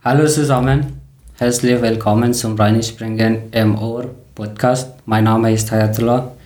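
Mann aus Afghanistan als Podcast-Moderator, vorsichtiges Lerner-Deutsch. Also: male voice, mid-range voice, fairly even intonation, a little mellow, reverberant. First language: not German. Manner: careful, learner grammar, slightly halting. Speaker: podcast host. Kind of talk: reading prepared talk in learner German.

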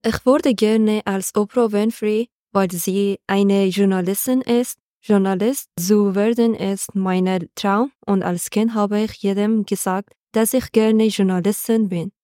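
A woman's voice: medium pitch, fairly even intonation, slow, hardly any pauses, mellow, no room sound, studio quality. A woman from Afghanistan, a non-native speaker, taking part in a prepared podcast discussion. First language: not German. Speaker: podcast participant.

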